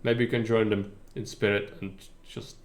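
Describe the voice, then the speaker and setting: A man streaming a game live, chatting in a calm, relaxed way with his viewers. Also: fairly low pitch, normal speed, faint music behind. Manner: relaxed, chatty, addresses chat. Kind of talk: casual live gameplay commentary.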